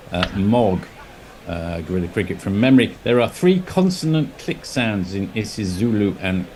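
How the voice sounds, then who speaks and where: A man on the commentary team reading out listener messages with quick, wry asides. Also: fairly low pitch, darkish tone, a little hiss or hum.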